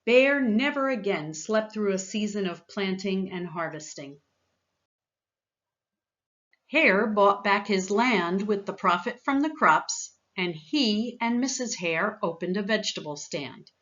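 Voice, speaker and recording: A woman narrates a children's story with expressive care. Her voice is bright and the pace slow and deliberate. The recording is a little dull. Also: mid-range voice. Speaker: volunteer gardener reading a children's book aloud.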